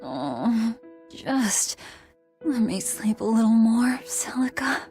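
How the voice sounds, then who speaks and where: A woman playing a brooding, blunt young warrior with a husky voice in a video game. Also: on the high side, big pitch swings, slow, darkish tone, soft background music. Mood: drowsy.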